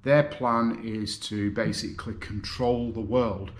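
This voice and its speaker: A man in his fifties with a Lancashire accent, giving a personal video statement from his living room, earnest and halting with many fillers. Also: lowish voice, fairly even intonation, a little hiss or hum. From North West England. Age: 50s. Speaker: video contributor. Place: living room, seated on a sofa.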